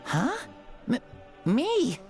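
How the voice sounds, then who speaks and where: A woman voicing a frail, wide-eyed young child in an anime video game, speaking in an earnest, halting way. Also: high voice, wildly swooping pitch, a little mellow, soft background music, exaggerated voice. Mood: dazed, confused.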